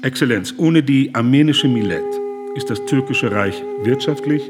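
An actor playing an earnest, indignant German humanitarian, speaking formally, deliberately and with moral urgency in a radio play. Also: male voice, medium pitch, animated, lightly acted. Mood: urgent pleading.

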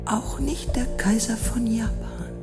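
A woman narrating an experimental radio drama in slow, deliberate fragments with long pauses, with music behind her. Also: a little high, steady tone. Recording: music playing loudly behind the voice.

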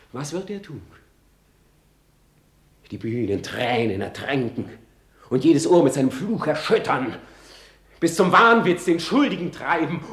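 An actor playing a tormented, self-loathing prince in a classical radio drama, declaiming in a way that swings between whispers and outcries. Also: male voice, a little high. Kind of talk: classical stage-style Shakespeare radio drama monologue. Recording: roomy, echoing radio drama studio.

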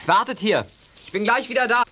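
A man's voice: high-pitched, animated, brisk, bright, forceful tone, a little hiss or hum. A male actor in a German audio drama playing a bold, hot-headed teenage boy who leads his friends.

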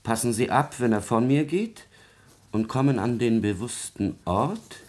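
Guy acting a scheming secretary, slow careful speech, fake kindness, radio play. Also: medium pitch, animated, darkish tone, natural voice. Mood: cold dictating. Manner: measured, insinuating, falsely kind. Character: cold, scheming male secretary, oily and calculating. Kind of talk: classical German radio drama acting.

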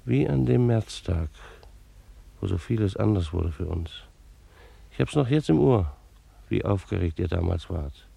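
A retired bailiff of sixty-five in a radio play. His voice is low and gravelly, and he talks in formal, old-fashioned official phrases. He is a dutiful, fussy beekeeper who reflects on old wounds. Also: animated, a little slow, pauses often, dark tone, no room sound, heavily acted. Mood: reflective. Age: 60s. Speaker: actor narrating in character. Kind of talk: German radio play monologue and dialogue.

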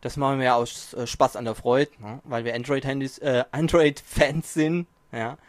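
A man's voice: medium pitch, fairly even intonation, normal speed, no echo. A man hosting a casual podcast from a small home studio, chatting in a jokey, self-mocking way, his mic distance shifting now and then. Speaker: podcast host. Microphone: home mic, distance varies.

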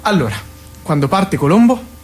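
Bookish, impatient study buddy, slightly high male voice, pedantic stage-play delivery. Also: very animated, moderate pace. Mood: studious. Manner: pedantic, exasperated. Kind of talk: stage comedy-drama dialogue.

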